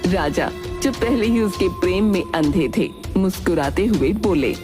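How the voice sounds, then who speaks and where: A woman's voice: fairly low pitch, lively intonation, unhurried. A woman narrating a scripted dramatic folk tale as a storyteller. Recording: music under the narration.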